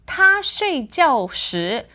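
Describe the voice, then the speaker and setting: A woman co-hosting a Chinese lesson, explaining words and saying slow, careful example sentences. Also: high voice. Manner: lively, switches into slow careful Mandarin examples. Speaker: Chinese teacher co-host. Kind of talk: language lesson chat.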